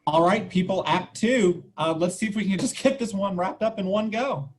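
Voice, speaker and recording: An actor playing an exasperated, excitable male director of amateur theater in a farcical web comedy. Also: high voice, normal speed, natural voice. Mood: directing.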